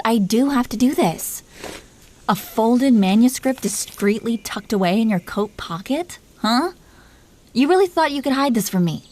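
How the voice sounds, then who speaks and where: Mellow, possessive dragon queen played by a woman. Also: on the high side, slight background noise. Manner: playful, commanding, mock-sweet. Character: possessive, teasing dragon queen, dominant yet doting wife. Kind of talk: intimate roleplay audio acting.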